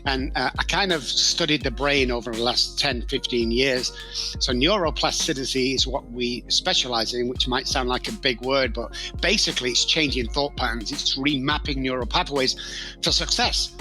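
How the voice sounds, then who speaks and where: A man's voice: mid-range voice, bright, forceful tone, soft background music, poor audio. A man with a Manchester accent, a podcast guest talking emphatically about addiction recovery and acting out short exchanges. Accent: Manchester English. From England. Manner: emphatic storyteller, acts out quick dialogue. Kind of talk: podcast interview.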